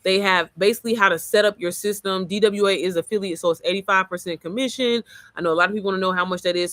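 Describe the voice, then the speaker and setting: A young adult woman with a low, manly-sounding voice, talking as an online business coach in a casual, profane screen-share walkthrough, calling the viewer girl and baby. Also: sharp tone, no echo.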